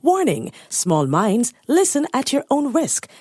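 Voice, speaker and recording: Lively woman announcer, dry close sound. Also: fairly high pitch, slow pace, slightly rough, no echo. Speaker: disclaimer announcer. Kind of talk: scripted narration.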